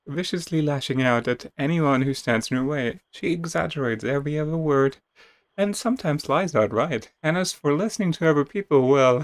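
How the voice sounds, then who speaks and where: Higher-voiced man reading game text, dry sound. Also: no echo. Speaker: video game streamer reading game text aloud. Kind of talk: dramatic reading of game narration with casual asides.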